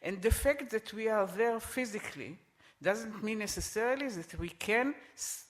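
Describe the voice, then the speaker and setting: A middle-aged man speaking on a conference panel, emphatic and repeating his points, with halting restarts. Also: sits high, animated, a little hiss or hum. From Israel.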